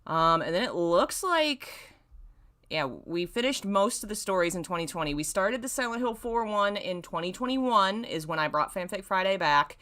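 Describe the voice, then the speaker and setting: A woman's voice: medium pitch, lively intonation, normal speed, bright, forceful tone. A woman hosting a casual livestream, talking in a rambling way and often interrupting herself. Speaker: livestream host. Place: indoors in a dim room.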